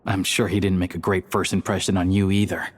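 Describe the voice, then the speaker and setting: A man's voice: low-pitched, flat delivery, brisk. A man voicing an easygoing, level-headed young member of a secret society in a video game, explaining things casually. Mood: casual, resigned.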